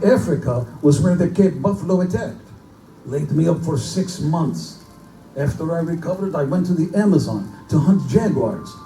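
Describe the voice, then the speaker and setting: A man's voice: high voice, warm tone. A man playing a courtly, boastful Russian general obsessed with big-game hunting, in a theatrical live reading of pulp fiction. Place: bookstore live performance before microphone. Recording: echoey live room, distant mic, music underneath.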